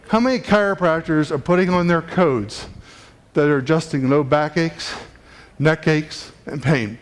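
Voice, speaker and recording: A man giving an emphatic, preachy talk to fellow professionals in a conference room. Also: fairly high pitch. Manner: preachy, emphatic, builds up intensity. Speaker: chiropractic speaker. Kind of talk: motivational talk to a live audience.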